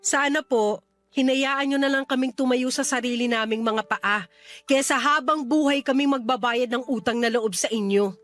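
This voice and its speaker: High-voiced grown daughter. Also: female voice, steady tone, normal speed, fairly bright tone, soft background music. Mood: frustrated, pleading. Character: put-upon, frustrated single daughter managing mother's rentals. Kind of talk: Tagalog radio drama acting.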